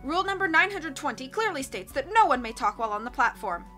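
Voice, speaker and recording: Woman as officious council elder, stern, pompous, commanding, high forceful voice, storybook character. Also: big pitch swings, quick pace, faint music behind, exaggerated voice. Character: stern, officious town elder quoting rules.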